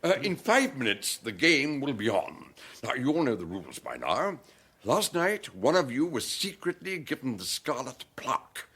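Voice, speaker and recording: An actor playing an excitable, pompous upper-class country-house host in a radio drama, speaking precisely and theatrically. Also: male voice, medium pitch, moderate pace, breathy edge, full character voice. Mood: officious, showy.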